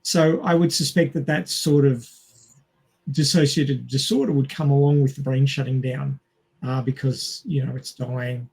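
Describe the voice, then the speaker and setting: A man's voice: mid-range voice, steady tone, normal speed, vocal fry, darkish tone, husky. A man on a podcast panel, explaining his view in casual discussion.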